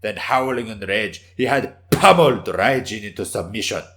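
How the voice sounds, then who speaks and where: A man with a low voice reads a scripted tale as narrator. His delivery is intense and theatrical, made for a dramatic story reading. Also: normal speed, sharp tone.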